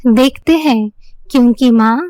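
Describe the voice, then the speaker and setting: A woman's voice: a little high, measured pace, darkish tone, no room sound. A woman storyteller reading a scripted children's story as narrator.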